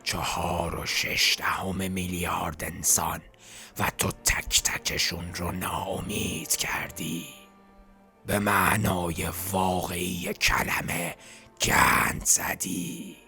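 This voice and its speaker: A cruel male voice that lives inside the detective's head, played by a man in a fan game dub. It whispers low and gravelly, with a sharp, forceful edge, and every line sneers and needles. Faint music runs underneath. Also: little pitch movement, heavily acted. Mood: mocking. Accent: Persian. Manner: scornful, needling, mocking.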